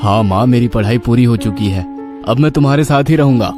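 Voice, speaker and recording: A man's voice: medium pitch, a little fast, lightly acted. A man voicing a curious, earnest young village man in a horror story. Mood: happy. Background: background music.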